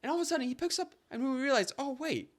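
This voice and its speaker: A young man co-hosting a podcast, telling stories in a casual, animated way that jumps up high when he gets excited. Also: rapid-fire. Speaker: podcast co-host. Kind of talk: casual storytelling chat.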